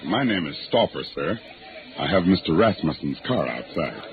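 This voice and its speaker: An actor playing a courteous chauffeur with dry, understated humour in a 1950s radio drama. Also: male voice, very deep voice, sing-song, moderate pace, exaggerated voice. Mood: deferential. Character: deferential, drily humorous male chauffeur. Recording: tinny vintage radio recording.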